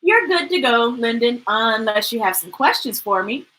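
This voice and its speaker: A woman's voice: a little high, expressive, moderate pace, a bit bright, poor audio. A woman voicing a good-humored, cheerful dentist in a storybook, upbeat and reassuring.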